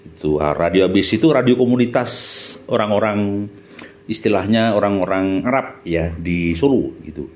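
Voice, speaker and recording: Middle aged indonesian guy w javanese accent, really lively up and down voice, chatty, keeps asking his buddy questions. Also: lowish voice, moderate pace. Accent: Javanese Indonesian, Central Java. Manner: chatty, often checks in with listener using questions. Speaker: channel host, former radio announcer. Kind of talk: reminiscing monologue with a companion chiming in.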